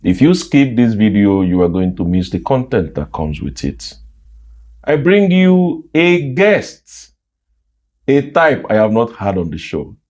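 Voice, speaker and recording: A man's voice: on the low side, very animated, unhurried, darkish tone. A man hosting an interview show, speaking formally and emphatically, often repeating the guest's answers back. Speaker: host.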